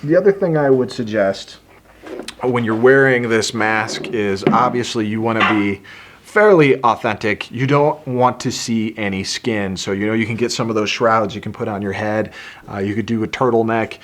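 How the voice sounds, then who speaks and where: A man's voice: lowish voice, moderate pace, a bit bright, slight background noise. A man speaking casually to camera as a review host, explaining things in an easygoing way. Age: adult. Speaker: video host reviewing a toy helmet.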